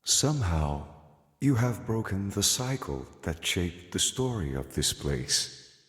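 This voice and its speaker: An actor playing a solemn, fatalistic prophet of a god of fear in a video game, speaking in a brooding, philosophical way. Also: male voice, deep voice, sing-song, a bit bright, exaggerated voice. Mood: calm, cryptic. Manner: slow, brooding, philosophical delivery. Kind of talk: dramatic video game voice acting. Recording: long cavernous reverb.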